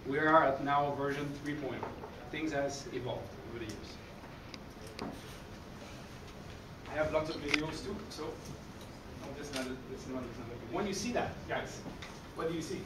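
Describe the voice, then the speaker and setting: A man with a Québécois French accent talks energetically to a room of coaches. His voice sits a little high, and he often tosses in "okay?". He is recorded from far off in a roomy, echoey hall with noise underneath. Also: normal speed, long pauses. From Quebec City, Canada. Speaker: baseball coach giving a conference talk. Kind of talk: conference presentation. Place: dark conference hall, speaking in front of a screen. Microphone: room recording from a far camera. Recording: echoey hall, heard from afar, noisy.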